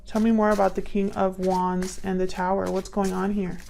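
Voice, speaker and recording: A woman giving an improvised tarot reading to viewers, musing and thinking aloud in a halting way. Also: medium pitch, flat delivery, gravelly, mellow, a little hiss or hum. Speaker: tarot reader, host.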